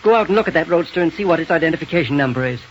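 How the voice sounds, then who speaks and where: An actor playing an authoritative, concerned scientist in an old radio serial, urgent and commanding with slight stammers. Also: male voice, on the high side, lively intonation, very fast, close to an everyday voice. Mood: grave, commanding. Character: elderly-authority male scientist doctor, brisk, concerned, kindly. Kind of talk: 1930s radio serial acting. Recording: muffled, hissy old radio recording.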